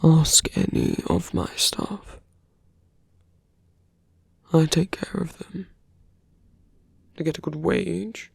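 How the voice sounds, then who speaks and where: Seductive villain played by an ASMR performer: breathy, half-whispered, very creaky, taunting and menacing, intimate roleplay delivery. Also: medium pitch, sing-song, no echo, heavily acted. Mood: calm, persuasive. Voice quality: breathy, often dropping to a whisper. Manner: slow, deliberate, taunting.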